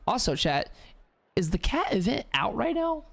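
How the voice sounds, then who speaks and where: A woman's voice: fairly high pitch, big pitch swings, moderate pace, gravelly. A woman streamer giving casual live commentary over a game, with playful, joking asides. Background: game music and dialogue.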